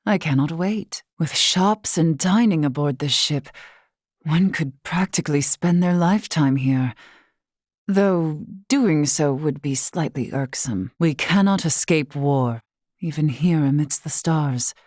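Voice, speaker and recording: A woman voicing a solemn, dutiful warrior stateswoman in a video game, speaking in formal, measured, old-fashioned phrasing. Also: sits low, a little mellow, dry recording, studio quality. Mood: grave, resolute. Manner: formal, measured, archaic diction. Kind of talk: scripted video game character dialogue.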